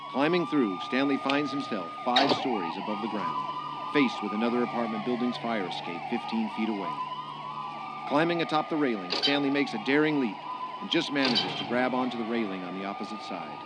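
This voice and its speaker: A man's voice: medium pitch, lively intonation, brisk, heavy background noise. A man narrating a radio drama from a script in story-telling style. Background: prominent music.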